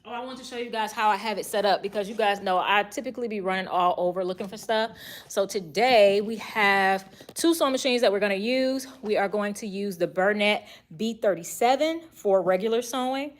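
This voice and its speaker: A woman hosting a casual sewing tutorial from her sewing office, chatting and thinking out loud as she works and moving nearer to and farther from the mic. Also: medium pitch, a little fast. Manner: chatty and relaxed, thinking aloud while working; moves around the room, voice nearer and farther from the mic. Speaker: sewing channel host. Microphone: added mic, camera across the room. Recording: roomy echo, faint background hiss.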